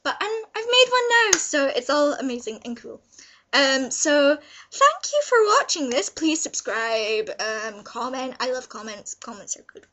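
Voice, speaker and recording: A woman's voice: high voice, sing-song, measured pace, pushed and bright. A young woman giving a casual, unscripted video review in a rambling, gushing way, repeating words for emphasis. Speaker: vlogger reviewing visual novels.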